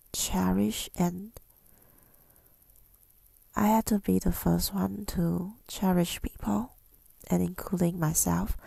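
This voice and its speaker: Woman, tender ASMR whisper, very creaky, soft dark tone, breathy, hesitant, affirming, close mic. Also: fairly low pitch, no echo. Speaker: ASMR creator speaking to listeners. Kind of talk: intimate ASMR monologue, mostly whispered.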